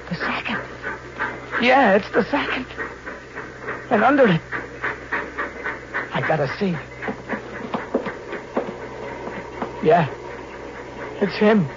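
A man's voice: high voice, lively intonation, slow, long pauses, full character voice. An actor playing a trapped man going hysterical with fear in an old radio play, laughing and sobbing. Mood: frantic dread. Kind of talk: 1940s radio acting. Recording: noisy dull old radio recording.

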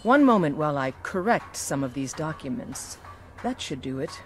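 An actress playing a measured, commanding military officer in a fantasy video game. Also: female voice, low-pitched, sing-song, slight background noise. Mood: calm, scheming. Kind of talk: scripted video game dialogue.

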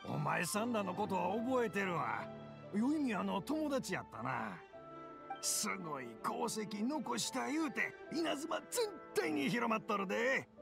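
Creaky old Kansai-accented father. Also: high-pitched, very animated, unhurried, full character voice. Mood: mild, matter-of-fact. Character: elderly, gently worried father and fireworks craftsman. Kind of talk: Japanese anime game voice acting. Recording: music behind the voice.

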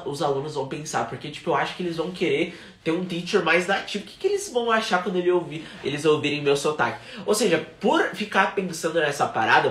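A man's voice: high-pitched, echoey room. A young Brazilian man with a Brazilian Portuguese accent, teaching English on a livestream with energy and slipping English phrases in. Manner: energetic, mixes in English phrases, gestures a lot. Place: small room at home, indoors.

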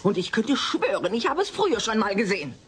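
An actress playing an excitable, frightened and insistent neighbour woman in a sitcom audio drama. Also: mid-range voice, a little fast, slightly rough. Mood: agitated. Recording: faintly noisy old cassette.

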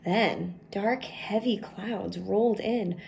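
A woman's voice: mid-range voice, slow, vocal fry, darkish tone, slight background noise. A woman in her thirties reads a children's picture book aloud at home, speaking simply and expressively to young listeners. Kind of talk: children's storybook read-aloud. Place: indoors, small room at home.

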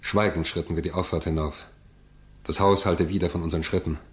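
A man's voice: low-pitched, steady tone, a little fast, natural voice. A man playing a composed law-office worker who narrates and acts in a scripted crime radio play. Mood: sober, suspenseful. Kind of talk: scripted dramatic narration and dialogue.

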